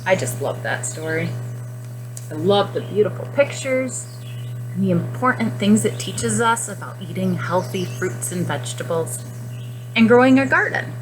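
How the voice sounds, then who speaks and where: A woman teacher speaking to young children in simple, expressive phrases during a guided reading lesson. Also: medium pitch, moderate pace, music in the background, low-quality recording. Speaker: classroom nutrition teacher.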